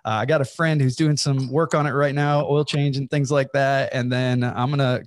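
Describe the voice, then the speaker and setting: A man's voice: mid-range voice, level tone, moderate pace, no echo. A man in his late thirties, a pastor, talking in an easygoing, friendly way as a podcast guest. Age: 30s. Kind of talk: casual interview chat.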